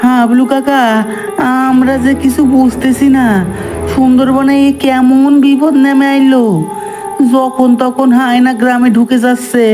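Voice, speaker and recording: A man's voice: very high voice, completely flat delivery, measured pace, music in the background. Man acting an anxious, pleading villager. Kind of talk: cartoon character acting.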